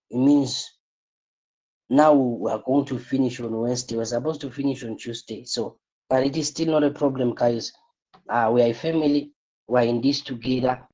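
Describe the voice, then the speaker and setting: Weary husky-voiced man, business coach in a live class, dark mellow tone. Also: medium pitch, steady tone, normal speed, low-quality recording. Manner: repeats points, asks rhetorical "what?" questions. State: tired, voice strained.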